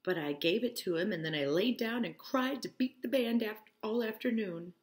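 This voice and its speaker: A woman's voice: mid-range voice, normal speed, pitched above the performer's own voice, close to an everyday voice. A woman voicing a brash, scornful married woman in a novel read-aloud, with an emphatic, indignant way of talking. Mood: indignant, aggrieved. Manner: emphatic, incredulous, declaring.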